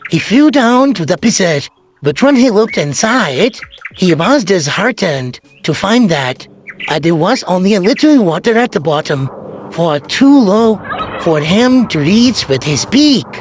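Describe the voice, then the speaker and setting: A man narrating a children's fable, recorded with noticeable background noise. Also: high-pitched. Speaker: storyteller.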